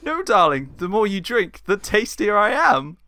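Man as a brash high-pitched pantomime dame mother. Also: big pitch swings, moderate pace, bright, forceful tone, dry recording, heavily acted. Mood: flirtatious. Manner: camp, exaggerated.

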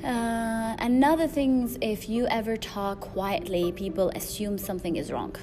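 Loud-voiced woman podcaster over music. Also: on the high side, moderate pace. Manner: rambling, frequent fillers and restarts. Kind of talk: solo podcast monologue. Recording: music playing behind.

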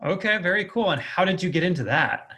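A man's voice: high-pitched, lively intonation, fairly quick, pushed and bright. A man hosting a casual interview, giving short affirming replies and asking informal questions. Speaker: interviewer, podcast host.

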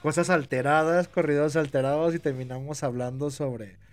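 A man with a Mexican Spanish accent hosting a casual podcast chat, excitable and laughing, swearing freely. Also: on the high side, moderate pace, faint music behind. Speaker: podcast host.

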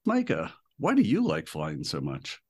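A man hosting a travel podcast, talking in a relaxed, friendly and earnest way. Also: very deep voice, unhurried. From United States. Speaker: podcast host. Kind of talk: casual podcast chat.

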